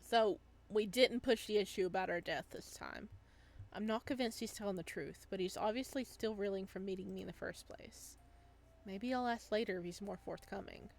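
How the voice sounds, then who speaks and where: A woman's voice: medium pitch, moderate pace, vocal fry, natural voice. A woman reading a young male ghost's narration and dialogue from a visual novel, wistful and bitter, in an earnest dramatic manner. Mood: wistful, musing.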